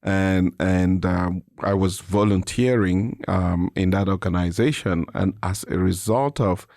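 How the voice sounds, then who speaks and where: A middle-aged man with a Cameroonian English accent, speaking as an interview guest in a measured, reflective, explanatory way. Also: sits low, darkish tone, no room sound, clean studio sound. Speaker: interview guest, nonprofit president and CEO. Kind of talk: reflective conversational interview answers.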